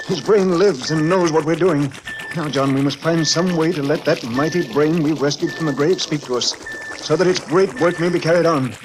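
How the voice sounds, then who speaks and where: An actor playing an obsessed, urgent professor of science in old radio drama, earnest, commanding and melodramatic. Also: male voice, a little high, normal speed, natural voice, poor audio. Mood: urgent, excited. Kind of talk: 1940s radio drama acting. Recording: old radio recording, music behind.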